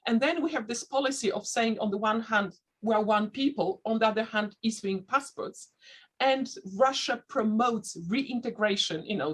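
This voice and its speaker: A woman who learned English as a second language, speaking with a slight Dutch-sounding accent as a panelist in an online discussion, pausing and restarting as she looks for words. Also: fairly high pitch, slightly rough. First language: not English. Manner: thoughtful, searching for words with frequent restarts.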